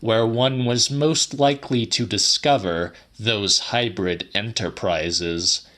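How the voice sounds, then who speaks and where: Male audiobook narrator, low pitch, slow measured delivery, bright forceful tone, literary and dramatic.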